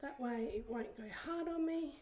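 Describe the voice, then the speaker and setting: A woman with a croaky, hoarse voice, chatting casually through a craft tutorial and often dropping into a whisper as she works. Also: high voice, moderate pace, darkish tone, muffled recording. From Australia. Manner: chatty, often drops to a whisper while working. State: hoarse, recovering from illness. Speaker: craft vlogger. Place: craft desk indoors, fan running.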